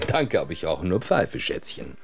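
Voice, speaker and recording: Small-town tease, deep voice, animated melody, bantering, easygoing, naturalistic radio drama. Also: male voice, a little slow, vocal fry, soft background music, full character voice. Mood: teasing. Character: teasing, easygoing brother-in-law in 1950s small town.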